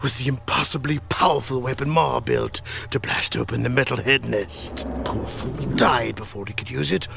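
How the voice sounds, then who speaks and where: A man playing a weapon-obsessed, slyly unhinged crime boss in a video game, gravelly, savoring words with sinister relish. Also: medium pitch, normal speed, hardly any pauses. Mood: creepy relish. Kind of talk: video game villain acting.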